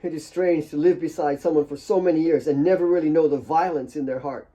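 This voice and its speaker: A man voicing a scheming, gin-drinking young woman who feigns distress in halting, plaintive speech for an audiobook. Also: fairly high pitch, steady tone, mellow, natural voice. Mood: feigned sorrow. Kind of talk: audiobook character voice.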